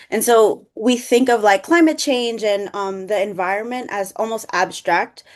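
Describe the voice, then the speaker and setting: Passionate woman moderating a panel. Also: medium pitch. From the Bronx, New York. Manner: passionate, quick, lots of "like" fillers. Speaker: conference moderator. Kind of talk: online panel discussion.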